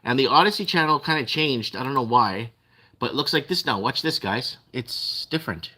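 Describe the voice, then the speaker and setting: A man's voice: medium pitch, lively intonation, normal speed, sharp tone. A man whose first language is Portuguese, hosting a casual live-stream news commentary, rambling and repeating himself. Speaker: host.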